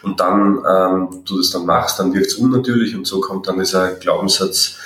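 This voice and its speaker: A man who trains people in NLP, talking persuasively and directly to the listener in a relaxed conversation. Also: sits low, moderate pace, distant mic. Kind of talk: casual podcast conversation. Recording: roomy echo, away from mic.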